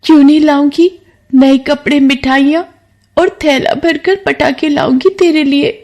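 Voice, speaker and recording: A woman's voice: sits high, fairly even intonation, a little slow, a little mellow, voice pushed up. A woman voicing an earnest, emotional poor young girl in a moral story, with a plaintive manner. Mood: eager, affectionate.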